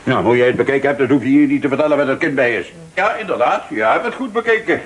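A man's voice: mid-range voice, expressive, slight background noise, lightly acted. An actor playing an aggrieved old pensioned sergeant and father in a Dutch radio play, blustering and stumbling over his words. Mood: indignant. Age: older. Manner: blustering, halting with many hesitations.

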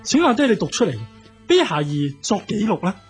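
An actor playing a timid, obedient young son in a Cantonese radio drama, hesitant and respectful, with a Hong Kong Cantonese accent. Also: male voice, sits high, very animated, a little fast, soft background music. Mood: deferential, puzzled.

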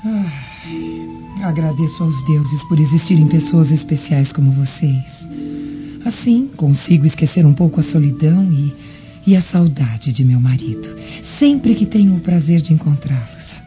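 An actress playing a kindly, lonely Roman governor's wife in a radio drama, gentle, gracious and compassionate. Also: female voice, deep voice, expressive, measured pace. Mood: wistful. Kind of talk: old-fashioned radio drama acting. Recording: dull lo-fi radio drama with music.

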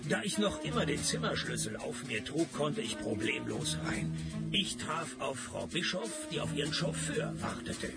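An actor playing a cynical, wisecracking private detective who narrates a radio crime comedy in a deadpan, gravelly voice. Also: male voice, medium pitch, normal speed, over loud music. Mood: dry narrating. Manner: deadpan, sardonic.

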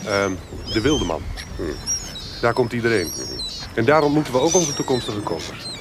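An actor playing a chummy, nosy rogue in a period audio drama, with a hesitant, humming and hawing delivery. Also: male voice, medium pitch, expressive, moderate pace, natural voice. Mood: musing. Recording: very noisy outdoor harbour ambience.

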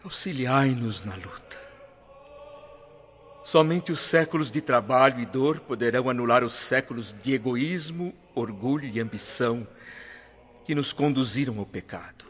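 A man's voice: mid-range voice, animated, measured pace, a bit bright. An actor playing a humble, repentant male spirit who prays in a reverent, pleading radio soap style. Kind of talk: radio soap opera acting. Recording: dull, muffled lo-fi audio.

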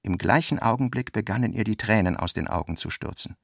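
A man reading scripted literary narration for a radio play in a measured, deliberate manner. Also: lowish voice, vocal fry, dry recording, studio quality.

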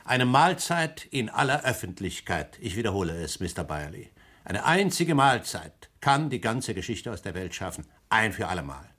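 A man playing an insistent, businesslike company director in a radio play, brisk and impatient. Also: lowish voice, very animated, a bit bright. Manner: brisk and impatient, pressing his point. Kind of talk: German radio play acting.

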